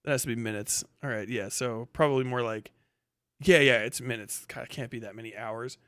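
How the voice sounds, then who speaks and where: A man hosting a casual, unscripted livestream, talking bluntly with profanity, muttering asides and reading things out loud as he goes. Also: medium pitch, fairly quick, no echo, studio quality. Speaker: livestream host. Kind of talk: reads names, stats and posts aloud.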